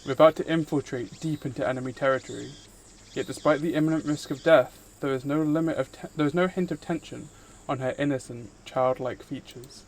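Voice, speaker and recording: A man's voice: medium pitch, brisk, a little hiss or hum. A man live streaming, reading visual novel narration aloud and chatting casually with viewers in between. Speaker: streamer, VTuber host.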